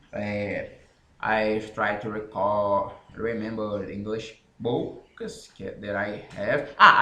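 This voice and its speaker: A Brazilian man whose first language is Portuguese talks in English with a clear Brazilian accent. He speaks very slowly, pausing and restarting his sentences as he searches for words. The room around him gives his voice a noticeable echo. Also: mid-range voice, sharp tone. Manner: halting, self-correcting non-native English with frequent pauses. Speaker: independent author. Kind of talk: casual explanatory talk to camera.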